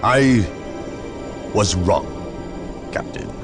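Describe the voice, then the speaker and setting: An actor playing a suspicious, measured male inquisitor in a video game, speaking formally and deliberately. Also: fairly low pitch, lively intonation, over music. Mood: solemn. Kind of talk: dramatic video game acting.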